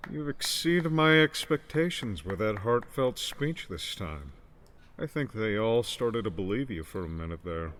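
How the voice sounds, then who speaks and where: A man's voice: fairly low pitch, expressive, unhurried, a bit bright, voice pushed up. A man voicing a menacing, mocking male villain in an audiobook, with a haunting, piercing, accusatory delivery. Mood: mocking praise. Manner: taunting, accusatory. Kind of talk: audiobook character dialogue.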